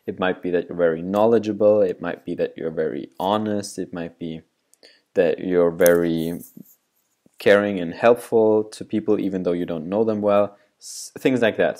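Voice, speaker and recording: Young male vlogger, German first language, slightly low voice, easygoing and rambling. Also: darkish tone. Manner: loose and rambling, restarts and asides. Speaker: video creator giving self-improvement advice. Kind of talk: casual explainer talking to camera.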